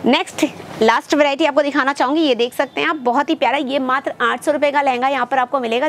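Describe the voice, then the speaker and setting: Upbeat high-voiced young woman vlogger. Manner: upbeat sales patter, addresses viewers as friends. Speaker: shopping vlogger presenting wholesale lehengas. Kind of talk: product showcase vlog. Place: textile shop full of stacked fabric, indoors.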